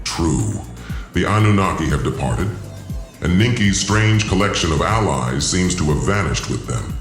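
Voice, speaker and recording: A man's voice: sits low, lively intonation, normal speed, roomy sound, soft background music. A man voicing a scheming alien overlord who poses as a god, commanding and contemptuous, in a science fiction audio drama. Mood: measured, guarded.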